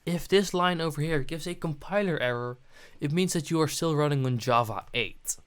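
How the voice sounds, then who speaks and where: A man's voice: on the high side, normal speed, smooth. A man presenting a programming tutorial, narrating his steps on screen as he goes.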